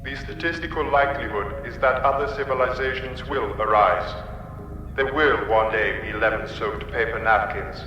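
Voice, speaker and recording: A polite but immovable spaceship autopilot acted by a man delivers officious, repetitive announcements. The radio comedy voice rings in a very echoey hall, with clear music behind it. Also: medium pitch, moderate pace, dull audio, lightly acted. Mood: blandly officious. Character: bland, inflexible male spaceship autopilot computer. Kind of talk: radio comedy drama acting.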